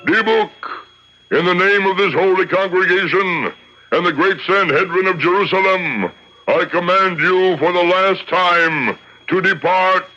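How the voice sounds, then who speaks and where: An actor playing a solemn, commanding rabbi in a radio drama, declaiming in a measured, theatrical way. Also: male voice, high voice, pushed and bright. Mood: commanding, forceful. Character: solemn, commanding rabbi judge conducting a tribunal and exorcism. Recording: dull lo-fi old radio recording.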